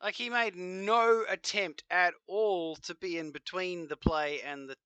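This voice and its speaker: A man's voice: sits high, steady tone, measured pace, sharp tone, clear, resonant tone, dry recording. A man, rambling and swearing as he hosts a casual reaction video. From New Zealand. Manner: rambling, exclamatory, swears freely.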